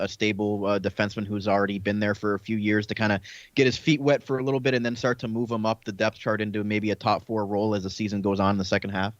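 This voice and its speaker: A man's voice: fairly low pitch, no echo. A man hosting casual sports talk, putting long, rambling questions to a guest. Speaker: sports show host. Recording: slightly dull, lo-fi audio.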